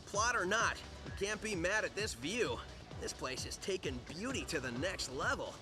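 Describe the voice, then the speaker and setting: An actor with an American accent playing a cocky, flippant young cartoon hedgehog hero. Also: male voice, high voice, very animated, normal speed, over music. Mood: amused, breezy. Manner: breezy, flippant, slangy.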